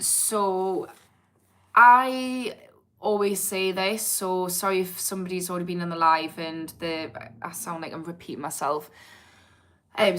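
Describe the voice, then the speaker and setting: A woman's voice: on the low side, bright, forceful tone. An English woman with a Geordie accent and a slightly posh twang, chatting candidly and informally on a livestream as an addiction therapist answering viewers. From England. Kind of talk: casual livestream chat, reading and answering viewer comments.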